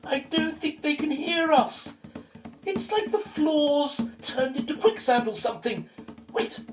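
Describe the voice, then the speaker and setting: Terrified cursed woman, audio drama, high voice, slow halting delivery, panicked pleading, music behind. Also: expressive. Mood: desperate pleading. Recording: echoey room, music behind.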